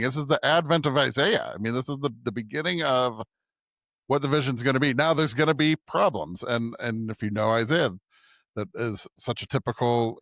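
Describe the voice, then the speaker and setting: A man's voice: medium pitch, fairly bright tone, dry recording. A man co-hosting a podcast, speaking about scripture as a reader and conversational commentator. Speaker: podcast co-host.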